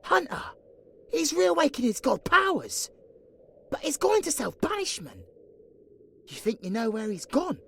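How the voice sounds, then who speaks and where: A woman plays a chatty, anxious sidekick. Her voice is fairly high and very animated, with some fry in it. She sounds casual, excitable and exasperated in this fantasy drama. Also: a little hiss or hum. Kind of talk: fantasy audio drama acting.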